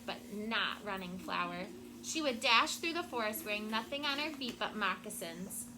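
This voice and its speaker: A woman teacher reading a storybook aloud to children in a classroom, with expressive, deliberate phrasing and prompting questions. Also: medium pitch. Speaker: elementary school teacher. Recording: very noisy classroom recording.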